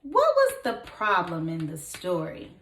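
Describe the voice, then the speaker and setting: Slow, animated woman reading to children. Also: lowish voice. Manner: expressive, encouraging. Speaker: kindergarten teacher. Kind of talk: children's read-aloud with questions to listeners. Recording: echoey room.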